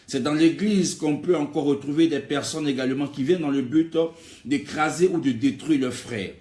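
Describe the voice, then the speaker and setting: A man's voice: on the high side, moderate pace, darkish tone. A man preaching an exhortatory sermon in an emphatic way, building on repeated rhetorical phrases. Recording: echoey, reverberant room.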